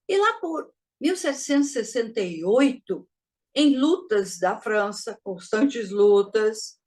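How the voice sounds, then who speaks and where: A woman giving a teaching talk, measured and posing rhetorical questions to her listeners. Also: fairly high pitch. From Brazil. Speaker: spiritist lecturer. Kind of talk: didactic talk to an audience.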